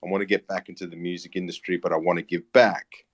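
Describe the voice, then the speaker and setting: A man in his late thirties with an Australian accent talks casually as an interview guest. His voice is low, and his delivery is matey and halting. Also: level tone. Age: late 30s. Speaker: interview guest, music platform founder and teacher.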